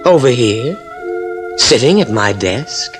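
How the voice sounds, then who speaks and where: An actor playing an accusing detective who poses as a murdered doctor in a theatrical old radio mystery. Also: male voice, medium pitch, animated, slow, over loud music. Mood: eerie, accusing.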